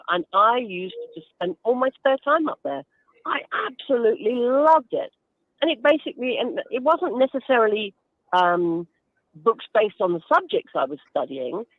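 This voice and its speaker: A woman's voice: on the low side, no echo. A woman chatting enthusiastically and descriptively as a guest giving advice in a radio interview. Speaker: guest author. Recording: narrow phone-like line.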